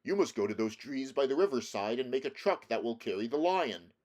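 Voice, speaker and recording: A man voicing a confident, helpful scarecrow from a storybook, brisk and emphatic. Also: mid-range voice, lively intonation, a little mellow, lightly acted. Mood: brisk, directing. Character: male scarecrow, quick, confident, helpful planner. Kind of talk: storybook character reading.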